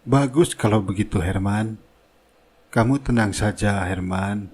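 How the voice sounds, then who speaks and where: A man playing a wealthy, fatherly company boss in a cartoon drama, speaking formally with calm authority. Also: on the low side, measured pace, slightly rough. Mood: assured, generous.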